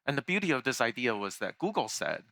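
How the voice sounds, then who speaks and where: Male keynote speaker from Taiwan, bright forceful tone, chatty delivery with "uh"s and restarts. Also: medium pitch, very animated, fast talker, gravelly. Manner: conversational, with fillers and restarts. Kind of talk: conference keynote talk. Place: large conference hall.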